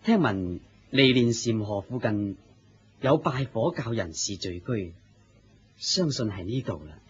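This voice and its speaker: A serene, unafraid holy man, low and very husky, with a Hong Kong Cantonese accent. He is courteous and composed, as in a muffled radio play. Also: lively intonation, a little slow, full character voice. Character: serene, dignified male Buddha, courteous and unafraid. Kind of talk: Cantonese radio drama acting.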